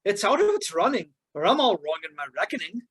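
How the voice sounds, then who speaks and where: A man's voice: high-pitched, very animated, fast talker, fairly bright tone, slightly rough, exaggerated voice. A man voicing a puzzled, earnest young hobbit in a comedic amateur table read.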